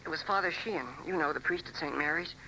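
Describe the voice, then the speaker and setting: A man playing an eager, excitable young newspaper copyboy in a 1940s radio drama. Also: a little high, fast, creaky, slight background noise, exaggerated voice, low-quality recording. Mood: anxious.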